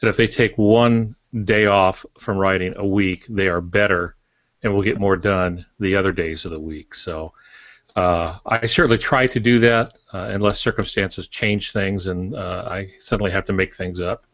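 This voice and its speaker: An older man co-hosting a casual podcast, speaking in a measured way with wry humour. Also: low-pitched, fairly even intonation, a bit bright, dry recording, lo-fi sound. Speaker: podcast co-host and working writer.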